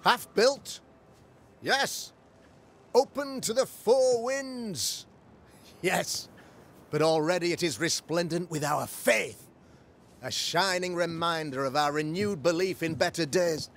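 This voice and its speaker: An actor playing a pompous, pious, middle-aged preacher in a video game, with florid, oratorical delivery. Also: male voice, a little high, slow pace, long pauses, fairly bright tone, a little hiss or hum. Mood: grandiose preaching. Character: pompous, pious preacher, learned man of the cloth. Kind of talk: theatrical video game acting, sermonizing.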